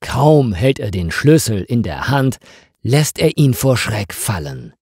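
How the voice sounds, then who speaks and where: A man narrating a scripted story for language learners in measured, deliberate phrases. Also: mid-range voice, big pitch swings, darkish tone, husky, dry recording, studio quality.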